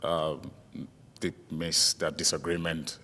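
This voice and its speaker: A man from DR Congo who grew up in South Africa, chatting casually as a guest, halting and repeating his phrases. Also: a bass voice, flat delivery, bright, forceful tone. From born in DR Congo, raised in South Africa. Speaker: interview guest, MMA fighter. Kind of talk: casual chat. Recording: reverberant hall-like room.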